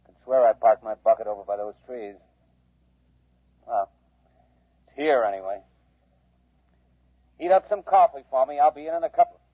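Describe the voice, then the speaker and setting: A man acting as a gruff field survey captain in a 1950s radio drama, heard through a played-back radio transmission. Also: medium pitch, dry recording. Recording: thin, phone-like radio transmission, 1950s recording.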